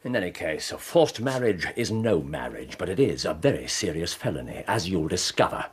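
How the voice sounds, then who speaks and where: An actor playing a sharp, cool-headed detective who speaks with brisk authority in a radio drama. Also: male voice, deep voice, very animated, breathy edge, heavily acted. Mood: stern. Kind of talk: BBC radio drama acting.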